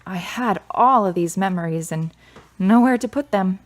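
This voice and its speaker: An actress plays a grieving daughter in a radio drama. Her voice is of medium pitch for a woman. She is guarded and blunt, with a dry, skeptical bite. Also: normal speed, natural voice. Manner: wry, blunt.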